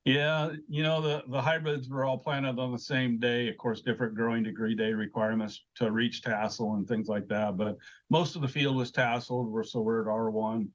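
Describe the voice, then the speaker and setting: A man giving measured, hesitant explanations as an agricultural expert in an informal interview. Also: medium pitch, fairly even intonation, dull audio.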